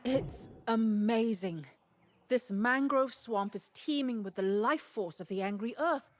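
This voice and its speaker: A woman's voice: a little high, lively intonation, unhurried. An actress playing a curious, analytical woman scholar in a fantasy video game, articulate and inquisitive. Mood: curious, earnest. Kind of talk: video game dialogue acting.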